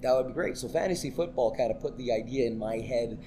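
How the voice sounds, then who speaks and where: An enthusiastic man from Canada co-hosts a sports chat, his throat scratchy and his talk full of cheerful plugs. Clear background chatter and room noise fill the pub behind him. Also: medium pitch, fast talker. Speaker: co-host and karaoke host.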